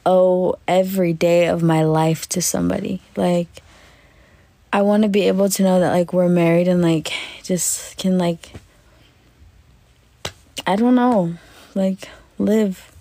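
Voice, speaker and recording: A woman in her twenties chatting casually on a livestream, lying in bed and answering comments with lots of fillers, in a voice she calls deep. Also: steady tone, unhurried, pauses often, warm tone. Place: bedroom, lying on a bed indoors. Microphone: phone at arm's length.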